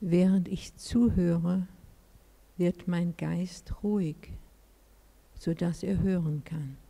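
A woman leading a guided meditation as a teacher, speaking in measured phrases with pauses. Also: fairly low pitch, steady tone, creaky, warm tone, breathy edge. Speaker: meditation teacher, Buddhist nun.

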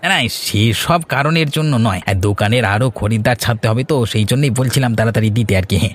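A man's voice: medium pitch, a little fast, natural voice. A man playing an eager village fish seller in a cartoon, with a Bengali accent and polite but insistent sales patter. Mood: reassuring. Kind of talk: cartoon voice acting.

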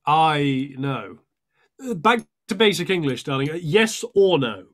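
A man's voice: a little high, big pitch swings, unhurried, a bit bright. A man playing a polite, theatrical amateur thespian in a comedy sketch, genteel and a little hesitant. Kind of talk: comedy audio sketch acting.

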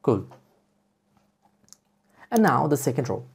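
Female tutorial presenter for screen-recording voice-over; very deep pitch, slightly husky, mellow. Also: sing-song, takes it slowly. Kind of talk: software tutorial narration.

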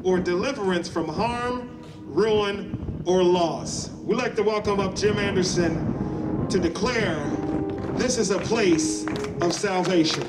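A high-voiced man speaks deliberately as emcee, repeating key words for emphasis. His voice is echoey and picked up from a distance at an outdoor event, with loud guitar music underneath. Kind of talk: spoken introduction. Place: outdoors on city hall steps.

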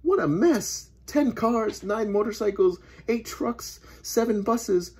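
A woman voicing an excitable, cross young boy in a children's storybook, with a dramatic delivery. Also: a little high, slow pace, breathy edge, voice pushed up, heavily acted.

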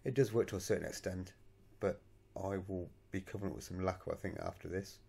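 A man's voice: deep voice, quick pace, creaky, warm tone, breathy edge. A man narrating a voice-over for his scale model painting tutorial in a plain, matter-of-fact way, thinking aloud as he goes.

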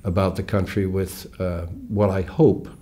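An American man in his sixties, a guest author explaining things in a wry, measured way, recorded in a small studio on a lapel mic. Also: low-pitched, level tone, dark tone. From United States. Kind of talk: relaxed interview talk. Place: small studio with red curtain.

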